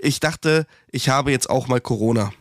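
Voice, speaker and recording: Chatty German heating technician with a cold. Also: male voice, medium pitch, normal speed, no echo, clean studio sound. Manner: chatty, swearing, rambling with restarts. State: has a cold. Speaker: podcast co-host, heating technician. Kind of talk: casual podcast chat.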